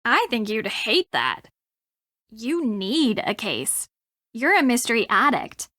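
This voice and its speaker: A woman's voice: a little high, huge pitch swings, slow, pushed and bright, dry recording, clean studio sound, exaggerated voice. A young woman with an American accent playing a snarky, teasing frenemy in a video game, blunt and sardonic.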